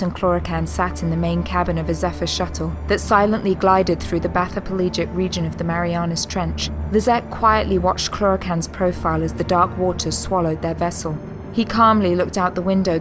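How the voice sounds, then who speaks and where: A woman's voice: lowish voice, steady tone, moderate pace, background noise. A woman reading scripted, dramatic story narration for a sci-fi audio drama. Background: music.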